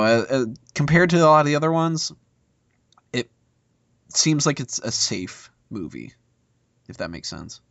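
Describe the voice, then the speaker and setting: A man's voice: medium pitch, creaky, a bit bright, dry recording, lo-fi sound. A man speaking as a podcast panelist, giving informal opinions in a casual movie discussion.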